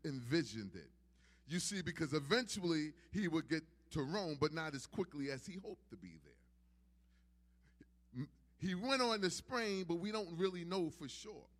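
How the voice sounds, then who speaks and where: New preacher guy, kinda high voice, hammering his points with repetition, echo of a big church. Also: fairly bright tone. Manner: emphatic, repetitive preaching cadence. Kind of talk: sermon. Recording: long hall reverb.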